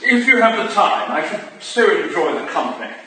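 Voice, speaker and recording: An actor playing a charming, stylish Victorian gentleman traveller in a staged play reading, courteous and flirtatious, recorded from a distance in an echoey hall. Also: sits high, sing-song, moderate pace, a little hiss or hum, full character voice.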